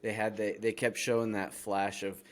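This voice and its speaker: A man's voice: on the low side, level tone, moderate pace. A man co-hosting a gaming podcast, talking casually. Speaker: podcast co-host.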